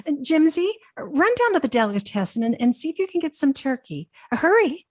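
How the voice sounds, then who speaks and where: A woman's voice: high voice, sing-song, a little fast. An actress playing a polite, flustered married woman in a radio comedy, hesitant and genteel. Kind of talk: old-time radio comedy acting.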